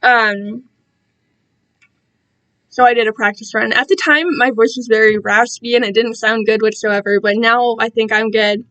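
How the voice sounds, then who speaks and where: A young woman with a fairly high-pitched voice hosts a casual livestream. She talks in a loose, rambling style, animated and prone to interrupting herself as she goes. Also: moderate pace, poor audio. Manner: animated, self-interrupting, quotes herself and others dramatically. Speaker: livestream host and amateur fan fiction reader.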